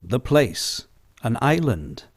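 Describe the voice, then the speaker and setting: A man playing a 1930s radio announcer, introducing a drama in a grand, measured, theatrical way. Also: medium pitch, sing-song, gravelly, slightly rough, no echo, studio quality, heavily acted. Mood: grand, portentous.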